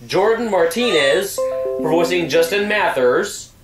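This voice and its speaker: A man's voice: high voice, expressive, takes it slowly, echoey room, over music. A man giving a scripted sign-off to viewers as the reader of a story. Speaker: fan fiction reader, video creator.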